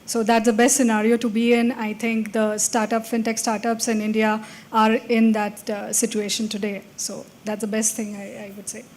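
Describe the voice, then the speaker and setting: A woman's voice: a little high, monotone, moderate pace, few pauses, darkish tone. A woman speaking as a panelist, brief and matter-of-fact. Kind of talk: panel discussion.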